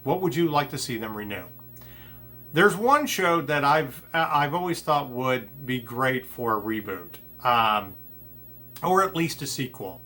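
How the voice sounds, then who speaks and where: A man hosting an entertainment show, talking casually to his audience in a halting, conversational way, often repeating phrases. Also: medium pitch, lively intonation, pushed and bright. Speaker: host of an entertainment news show. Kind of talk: casual opinion talk to the audience.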